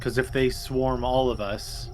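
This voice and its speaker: A man's voice: mid-range voice, level tone, measured pace, poor audio. A man talking casually through tactics and rules as a player in a tabletop roleplaying game. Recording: music playing faintly behind. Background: background music.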